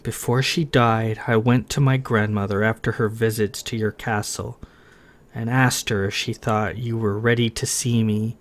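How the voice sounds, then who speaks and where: A man doing a soft, sad young woman. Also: fairly low pitch, fairly even intonation, normal speed, close to an everyday voice. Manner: quiet, hushed, subdued.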